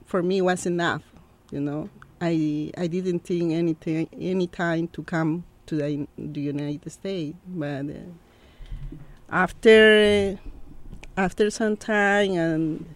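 A woman from Peru in her thirties with a Peruvian Spanish accent, a guest in an interview, speaking halting English with many fillers. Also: fairly low pitch. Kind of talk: interview conversation.

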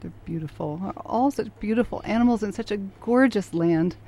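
A woman's voice: medium pitch, moderate pace, warm tone. A woman hosting an interview program, introducing and questioning her guest. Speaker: radio interview host.

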